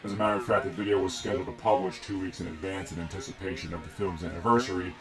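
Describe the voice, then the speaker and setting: A man's voice: deep voice, rapid-fire. A man in his thirties giving a sober, straightforward update to viewers. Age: 30s. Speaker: movie commentary host. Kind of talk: direct address update. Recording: voice over loud guitar music.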